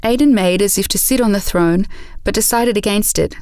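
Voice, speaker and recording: A woman's voice: mid-range voice, a little fast, a little mellow, clean tone, no echo, clean studio sound. A woman narrator reading scripted prose for an audio drama.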